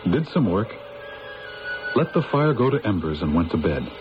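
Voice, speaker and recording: Radio mystery lead: uneasy, suspicious young man, lowish voice, dull old broadcast sound with music. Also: big pitch swings, normal speed, noisy background. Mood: grave, recounting. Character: uneasy young man narrating his haunted-house tale. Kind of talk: radio drama acting with first-person narration.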